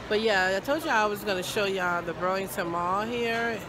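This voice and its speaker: A woman's voice: medium pitch, normal speed, noisy background. A middle-aged American woman chatting casually as she vlogs on a handheld phone. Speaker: vlogger. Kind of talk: casual vlog chat. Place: busy shopping mall food court and store. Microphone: handheld phone at arm's length. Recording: phone recording with music behind.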